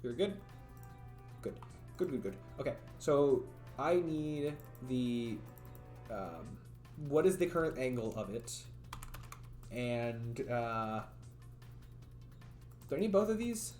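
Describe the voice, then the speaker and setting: Male streamer, casual think-aloud coder, slightly raspy voice, roomy echo. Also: medium pitch, very animated, slow pace, pauses often, darkish tone. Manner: thinks aloud, rambling, chats with viewers, frequent laughs. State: voice getting raspy from long talking. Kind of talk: casual livestream coding commentary. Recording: roomy echo, faint music.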